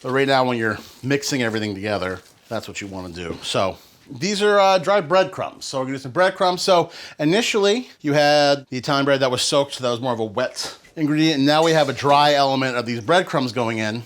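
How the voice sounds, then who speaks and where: A man's voice: mid-range voice, fairly quick, fairly bright tone. A man hosting a home cooking show, talking casually and rambling into playful, joking asides. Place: home kitchen.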